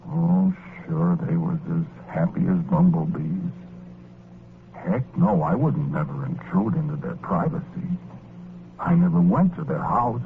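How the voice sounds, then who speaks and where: An actor playing a wistful working-class gambler ghost, narrating a 1940s radio drama in folksy, slangy talk. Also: male voice, low voice, huge pitch swings, unhurried, creaky, noisy background, exaggerated voice. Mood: sentimental. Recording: tinny old radio recording, loud music behind.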